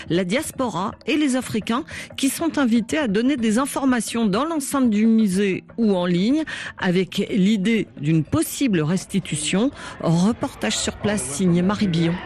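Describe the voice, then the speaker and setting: A woman's voice: medium pitch, a little mellow. A woman presenting a radio programme from a script. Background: faint music.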